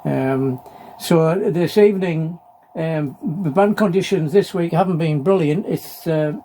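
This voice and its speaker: A middle-aged or older man talking casually in an unscripted hobby vlog, halting and hesitant, often repeating his phrases. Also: on the high side, warm tone. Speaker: amateur radio hobbyist presenting a video. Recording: clearly noisy background.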